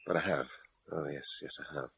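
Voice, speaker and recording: A bitter middle-aged British father in a radio play. His voice is extremely deep, his manner dry and cutting. The recording is dull, with noticeable noise. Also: fairly even intonation, fairly quick, vocal fry, a bit bright, heavily acted. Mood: smug, confiding. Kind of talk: British radio drama acting.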